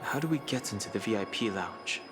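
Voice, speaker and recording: A man playing a serious, cautious, analytical ally in a video game, speaking in a measured, formal way. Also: on the low side, animated, music in the background, natural voice. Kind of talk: video game character dialogue.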